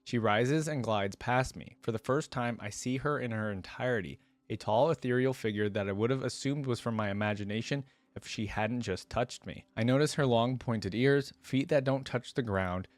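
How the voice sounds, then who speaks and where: A man's voice: medium pitch, normal speed, few pauses, dry recording. A man reading a story aloud as a reaction host, with informal, slangy asides, recorded close on a microphone.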